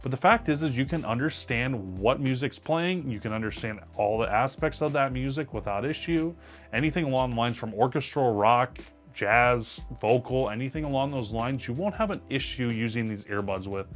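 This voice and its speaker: A man's voice: medium pitch, moderate pace, soft background music. A man with a powerful voice giving an upbeat, emphatic product review to camera. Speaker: tech reviewer.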